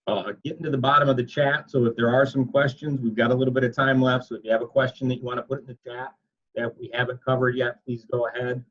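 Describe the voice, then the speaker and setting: Male call moderator, chatty and informal, somewhat husky mid-range voice, dull conference-call sound. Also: medium pitch, flat delivery, fast talker, fairly bright tone. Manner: casual, chatty, loosely worded. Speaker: moderator relaying reporters' questions. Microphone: video conference call.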